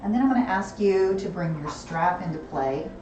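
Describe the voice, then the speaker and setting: A woman teaching a gentle yoga class, calmly cueing breath and movement, her voice a little gravelly and hoarse. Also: fairly low pitch, moderate pace. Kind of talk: gentle guided yoga instruction. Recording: roomy, distant, music behind.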